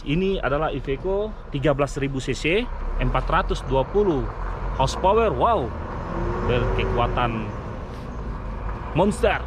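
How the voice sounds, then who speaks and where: A man's voice: a little high, background noise. A man presenting a vehicle walkaround vlog, explaining things enthusiastically and speaking directly to his viewers. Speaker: vlogger, mine vehicle driver. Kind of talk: vlog truck walkaround and review.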